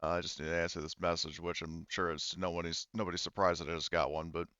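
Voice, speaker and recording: Low, smooth-voiced man running a game, slightly dull sound. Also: level tone, fast, sharp tone, dry recording. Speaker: game master. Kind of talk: narrating a tabletop roleplaying game, improvised.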